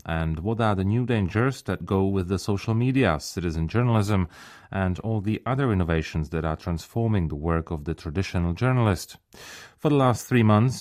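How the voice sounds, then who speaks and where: A man presenting a radio magazine show, reading a scripted introduction in a measured announcer delivery. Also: deep voice, darkish tone.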